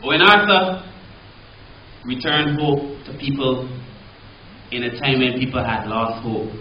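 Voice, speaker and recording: A man's voice: mid-range voice, fairly even intonation, a little slow, a little hiss or hum. A middle-aged man with a Barbadian accent giving a public lecture, emphatic and rhetorical, stressing key words. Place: lecture hall with audience. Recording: roomy, slightly dull hall recording.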